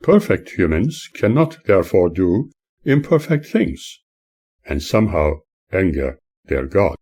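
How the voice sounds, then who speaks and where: A man reading scripted audiobook-style narration in a measured, earnest, oratorical way. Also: deep voice, big pitch swings, a little mellow, husky. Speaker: author reading own book.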